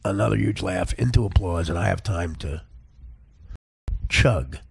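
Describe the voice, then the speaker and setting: A man who works as a stand-up comedian, telling crude, rambling stories in a casual, profane, animated way. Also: low voice, measured pace, creaky, breathy edge.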